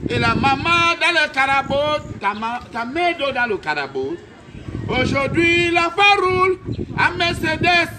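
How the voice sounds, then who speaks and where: A man with a very high voice leads call-and-response singing and banter. He takes his time, stretching phrases as he chants and directs. The recording is outdoors, with clear traffic noise around him. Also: big pitch swings, a little slow. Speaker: singer hosting a charity appeal video.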